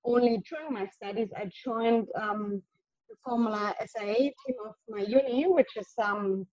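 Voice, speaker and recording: A woman's voice: on the high side, normal speed, a little mellow, no room sound. A young woman with a German accent, answering interview questions in a hesitant way with many restarts. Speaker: guest engineer. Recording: dull lo-fi audio.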